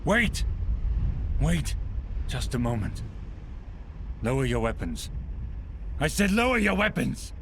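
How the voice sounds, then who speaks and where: An actor playing a commanding older general, a self-assured villain in a video game, speaking grandly and formally. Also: male voice, medium pitch, big pitch swings, slow pace, long pauses, a bit bright, a little hiss or hum, heavily acted. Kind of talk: dramatic video game acting.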